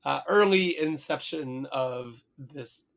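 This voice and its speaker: A hoarse man co-hosting a podcast, chatting casually, swearing and hesitating often. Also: medium pitch, slow, fairly bright tone. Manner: rambling, swears casually, many fillers and restarts. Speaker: podcast co-host. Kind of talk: casual chat.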